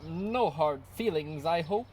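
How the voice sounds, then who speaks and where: A woman's voice: deep voice, expressive, slow, darkish tone, a little hiss or hum. A woman voicing a proud, pompous male lion in a storybook. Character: proud male lion boasting of his glorious mane.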